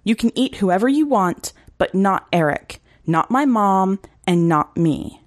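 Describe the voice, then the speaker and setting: A woman's voice: medium pitch, expressive, a little slow, no room sound, clean studio sound, lightly acted. A woman reading a story and voicing a small, defiant wolf girl who speaks with earnest, strained urgency. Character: hungry wolf girl, small, defiant yet guilt-stricken. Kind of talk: dramatic short story reading.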